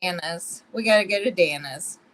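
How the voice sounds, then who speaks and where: A woman's voice: lowish voice, moderate pace, a bit bright, low-quality recording. A woman chatting casually as a livestream guest, familiar in manner and free with pet names.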